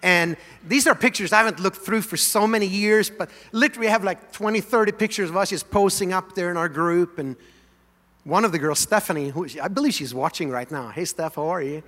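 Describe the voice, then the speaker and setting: A man from Sweden, a native Swedish speaker, telling a story in a conversational, animated sermon to his church. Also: high voice, brisk, big-room sound. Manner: animated, conversational, leads congregation in song. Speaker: pastor. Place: large church sanctuary.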